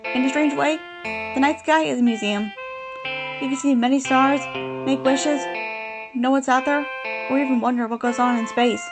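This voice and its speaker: A woman's voice: high voice, moderate pace, pauses often, music in the background, low-quality recording. A woman playing a thoughtful, curious adventurer in an audio drama, earnest and reflective.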